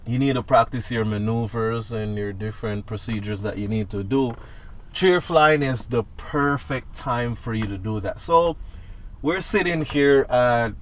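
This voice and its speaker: A man's voice: medium pitch, measured pace. A man with a Jamaican accent, a student pilot talking through a cockpit checklist in a casual instructional vlog and often asking "right?". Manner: talks through a checklist, tagging lines with "right?". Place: inside a small airplane cockpit on the ground.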